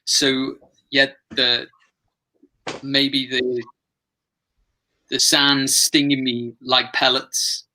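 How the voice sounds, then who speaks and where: Very bright-voiced man, slow and tentative. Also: mid-range voice, level tone, rough recording. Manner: hesitant, thinking aloud. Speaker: workshop participant. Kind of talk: casual workshop discussion.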